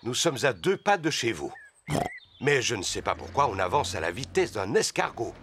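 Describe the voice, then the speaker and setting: A man voicing a good-natured, slightly worried daddy pig in a children's cartoon, fatherly and gently reasoning. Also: mid-range voice, big pitch swings, normal speed, noisy background. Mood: mildly doubtful.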